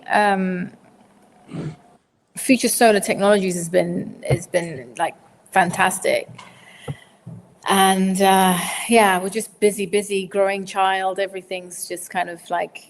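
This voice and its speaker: A woman's voice: medium pitch, slow pace. Woman, 40s, from Zimbabwe, podcast guest, hesitant, rambling, long reverb, faint background noise. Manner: rambling, hesitant, many fillers and restarts. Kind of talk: casual interview answers.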